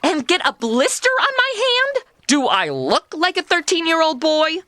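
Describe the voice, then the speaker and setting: Casting: self-absorbed, snarky young woman in comic audio drama, bright forceful tone, swooping petulant delivery. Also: extremely high pitch, big pitch swings, moderate pace, no echo, heavily acted. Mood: sarcastic. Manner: sarcastic, petulant, valley-girl phrasing. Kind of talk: comedy audio drama acting.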